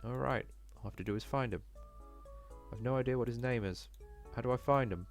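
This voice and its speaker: A man's voice: mid-range voice, a little fast, faint music behind, natural voice. A man voicing an anxious, self-doubting young cat student who talks fretfully to himself in a visual novel. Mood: wistful, nervous.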